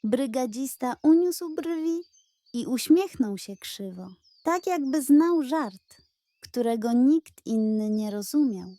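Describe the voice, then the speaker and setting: A woman narrating a children's audio story in a dramatic, measured storytelling manner. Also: high-pitched, expressive, dark tone, dry recording.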